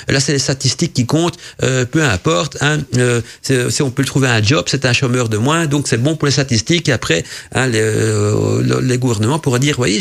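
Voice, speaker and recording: A man's voice: mid-range voice, creaky. A male radio host who is not a native French speaker, reading listeners' messages and interpreting their dreams in a rambling, casual way full of fillers and restarts.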